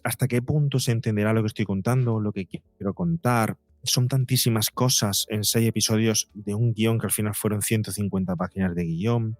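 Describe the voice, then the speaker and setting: A man's voice: mid-range voice, a little mellow, no echo. A man speaking as an interview guest and psychologist, explaining in a conversational way and voicing imagined quotes to illustrate his points.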